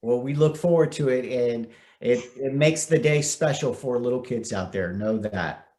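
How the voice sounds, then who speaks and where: An American man presents at a virtual community event as its host. His voice sits at a middle pitch, and he is speaking over a video call. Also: darkish tone. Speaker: event host, news anchor.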